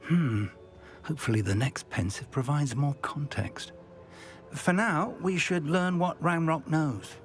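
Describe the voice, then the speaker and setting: An actor playing a kindly older wizard professor and mentor in a game cutscene, speaking thoughtfully. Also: male voice, a little high, unhurried, lightly acted. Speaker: game character. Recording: faint music behind.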